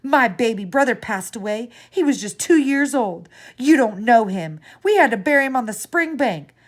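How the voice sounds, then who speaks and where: A woman voicing a distraught, spirited young pioneer girl who pleads and then shouts indignantly. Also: high-pitched, animated, moderate pace, voice pushed up. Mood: furious.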